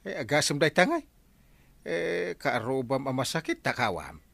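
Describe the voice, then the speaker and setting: A man with an Ilocano accent and a gravelly voice, playing a village captain in a comedy drama, admonishing people with drawn-out, hesitant interjections. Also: medium pitch, sing-song, fairly bright tone, no echo, full character voice. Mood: concerned. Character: village captain, mediating and admonishing comic official.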